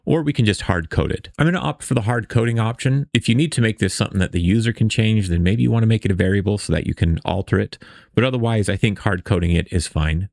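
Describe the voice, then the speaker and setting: A man's voice: lowish voice, vocal fry, dry recording, clean studio sound. A man giving a casual, explanatory coding tutorial as the instructor, often checking in with the listener.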